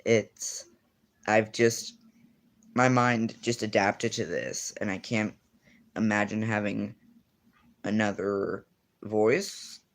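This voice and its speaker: A man's voice: on the low side, fairly even intonation, slow pace, pauses often. A teenage boy in casual livestream chat, answering viewers and rambling with lots of fillers and self-corrections. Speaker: streamer.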